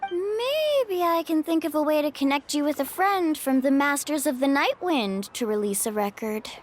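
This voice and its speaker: A woman's voice: high voice, expressive, moderate pace, slight background noise. A woman playing a confident, playful young adventurer in a video game, speaking in a breezy, casual way. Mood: upbeat. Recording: music playing behind the voice.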